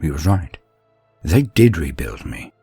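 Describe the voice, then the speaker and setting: A man playing an earnest, deferential young male apprentice, telling his story in a measured, confiding, introspective way. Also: extremely low pitch, big pitch swings, mellow, husky, dry recording, faint music behind, pitched below the performer's own voice, exaggerated voice. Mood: relieved, wondering. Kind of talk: first-person dramatic storytelling.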